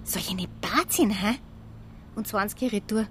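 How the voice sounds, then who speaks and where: A woman's voice: medium pitch, takes it slowly, fairly bright tone, a little hiss or hum. An actress playing a chatty, credulous newspaper kiosk woman in a radio drama, speaking colloquial Bavarian. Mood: incredulous gossip. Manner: colloquial, gossipy. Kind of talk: naturalistic radio drama dialect dialogue. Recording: music behind the voice.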